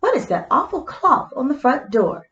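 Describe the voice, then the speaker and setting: Storybook lady of the house, voiced by a woman: demanding, exclamatory, exasperated, high, slightly dull recording. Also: sing-song, normal speed, a bit bright, roomy sound. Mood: dismayed. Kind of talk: animated picture book read-aloud.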